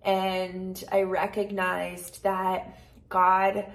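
A woman's voice: medium pitch, monotone, drawn-out words. A woman in her thirties speaking in an earnest, conversational way, sharing personal reflections as a casual vlogger. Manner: earnest, conversational, heavy use of "like" and "right". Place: living room at home, indoors. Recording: echoey room.

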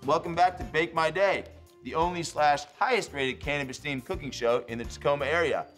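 An actor playing a spaced-out, forgetful, giggly young stoner who hosts a cooking show in a TV comedy sketch. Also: male voice, a little high, fairly bright tone, faint music behind, natural voice. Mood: upbeat, scatterbrained. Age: young adult. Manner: slow-witted, rambling, forgetful, giggly. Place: TV studio kitchen set.

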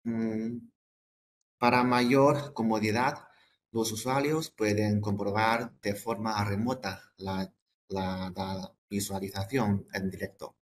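A man presenting products in a webinar, halting and hesitant, often repeating words and breaking off phrases. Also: fairly low pitch, flat delivery.

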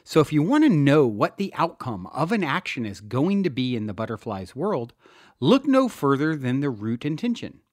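A man from the US East Coast reading his own self-help book in a deliberate, earnest way. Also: medium pitch, very animated, no echo, studio quality. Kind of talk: scripted audiobook narration.

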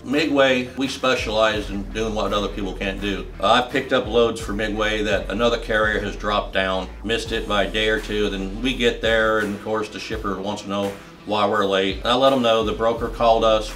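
A man talks plainly about life as a long-haul truck driver. His voice sits low, and he reels off his points in short, matter-of-fact bursts. Soft music plays faintly underneath. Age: 50s. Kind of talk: answering interview questions.

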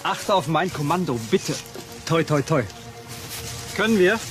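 A man's voice: a little high, lively intonation, a little slow, music in the background. A man playing a commanding, exasperated film director who barks orders in a children's radio drama. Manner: barking orders.

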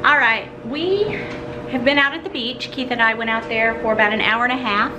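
A middle-aged woman vlogging casually to camera in a vacation condo, chatty and relaxed, laughing softly between sentences. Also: fairly high pitch, fairly quick. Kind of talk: casual vlog talk to camera. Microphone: phone held at arm's length. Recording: noisy phone audio.

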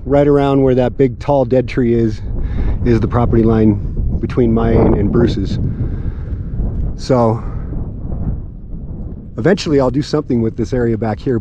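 A man in his forties walking his desert land and talking casually to a handheld camera, with a relaxed, rambling manner full of fillers. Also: mid-range voice, noisy background. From California. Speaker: homestead vlogger. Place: outdoors on open high desert land. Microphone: camera held at arm's length.

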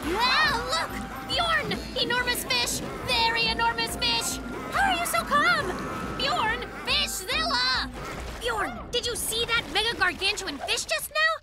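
Excitable, high-pitched cartoon bear cub. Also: slow pace, full character voice. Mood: amazed. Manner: brash, exclamatory, sarcastic slangy banter. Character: excitable boastful young bear cub adventurer. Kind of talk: children's cartoon voice acting. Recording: loud cartoon music behind.

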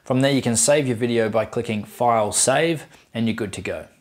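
A man's voice: medium pitch, fairly quick. Man presenting a step-by-step tutorial. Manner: clear step-by-step instruction. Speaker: tutorial host. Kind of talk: scripted how-to tutorial narration.

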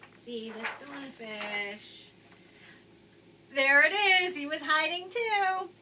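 A woman's voice: high-pitched, slow. A woman in her thirties reading a picture book aloud expressively to young children, indoors on a camera mic. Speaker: storybook reader. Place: indoors, small room with curtain backdrop. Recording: faint background noise.

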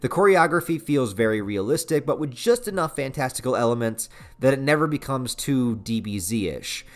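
A man's voice: medium pitch, expressive, normal speed. A man in his thirties with an American accent narrating a casual, opinionated review in an informal, enthusiastic, slangy way. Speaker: video reviewer. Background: background music.

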